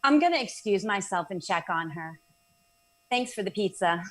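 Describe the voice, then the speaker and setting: An actress playing a supportive, candid young best friend in a radio drama, casual and gentle in her delivery. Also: mid-range voice, lively intonation, moderate pace, close to an everyday voice. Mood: concerned.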